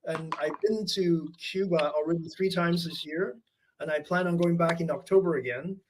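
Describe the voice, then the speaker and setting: A man talks thoughtfully about his work, pausing often and circling back to rephrase. His voice sits quite high for a man. A faint hiss runs under the recording. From Canada. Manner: thoughtful, reflective, hesitant with fillers and restarts. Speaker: artist interviewee. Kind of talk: conversational interview.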